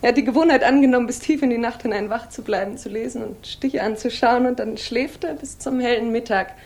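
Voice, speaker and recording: A woman's voice: fairly high pitch, brisk, vocal fry, darkish tone. An actress playing a reserved, elegant married lady, polite and composed, in a 1960s German radio drama. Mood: chatty, animated.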